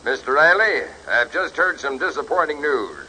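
A man's voice: on the high side, animated, moderate pace, sharp tone, breathy edge, full character voice, poor audio. A man playing a courteous, dignified American army colonel, formal and polite, in 1940s radio drama style. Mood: apologetic.

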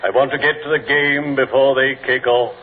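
A male actor playing a genteel, morbid undertaker in old-time radio comedy, speaking formally and slowly with a solemn deadpan delivery. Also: medium pitch, close to an everyday voice. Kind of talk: 1940s-50s radio comedy acting. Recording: noisy, tinny old radio broadcast.

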